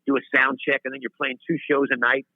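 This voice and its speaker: A man's voice: medium pitch, flat delivery, fast talker, no echo. A man in his fifties tells long, winding stories as an interview guest, often breaking off and starting his sentences again. His voice comes through a thin, phone-like line with a very dry sound and no room around it. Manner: rambling, self-interrupting, laughs at himself. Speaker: singer-songwriter guest. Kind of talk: storytelling interview chat. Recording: tinny phone-line audio.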